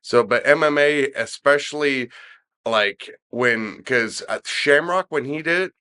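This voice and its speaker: A middle-aged man chatting on a podcast, his voice a little stuffed up and nasal today. His tone is bright and he rambles, circling back with restarts and fillers. He talks loosely and casually. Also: mid-range voice, unhurried, clean tone. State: stuffy nose, slightly nasal. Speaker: podcast co-host discussing wrestling. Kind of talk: casual chat.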